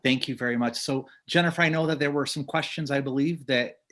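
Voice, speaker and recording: A man's voice: medium pitch, a little fast, a bit bright. A man moderating a panel on a video call, politely handing over to speakers. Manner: polite, brief.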